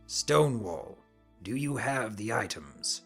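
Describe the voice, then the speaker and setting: Man acting a villainous stallion cult leader, grandiose, commanding, unhurried, fantasy storybook, soft music behind. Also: lowish voice, animated, vocal fry, husky, exaggerated voice. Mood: brisk authority. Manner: slow, grandiose, ceremonial.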